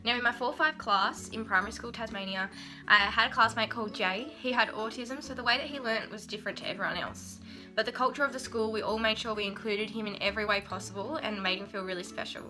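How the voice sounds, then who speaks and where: A woman's voice: fairly high pitch, fairly even intonation, fast talker, music in the background. A young Australian woman, a university student, narrating for a class video in an earnest, chatty way.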